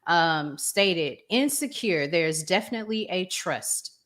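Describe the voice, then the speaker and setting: A woman hosting a casual discussion, talking in a measured, deliberate way with pauses. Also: fairly low pitch, lively intonation, fairly bright tone. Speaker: host.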